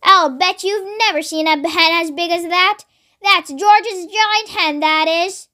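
A woman voicing a boastful old grandmother who shouts and crows, in a storybook reading. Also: extremely high pitch, slow pace, full character voice. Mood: gleeful. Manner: shouting, crowing.

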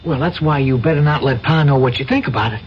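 An actor playing an earnest, hesitant country youngster in a radio drama, stammering and folksy. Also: male voice, medium pitch, quick pace, close to an everyday voice. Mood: earnest, worried. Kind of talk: 1970s radio drama acting. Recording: dull old radio recording, faint noise.

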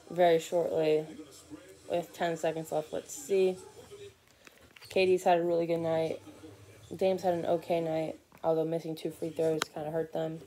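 A man's voice: a little high, warm tone. A teenage boy giving casual live commentary on a basketball game from his couch, rambling with many fillers and restarts. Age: teens. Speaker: sports vlogger. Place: living room couch, indoors. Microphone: phone or laptop camera from below.

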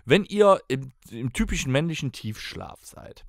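A man's voice: mid-range voice, big pitch swings, unhurried, gravelly, dry recording, studio quality. A man co-hosting a podcast, telling stories in casual banter. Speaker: podcast co-host.

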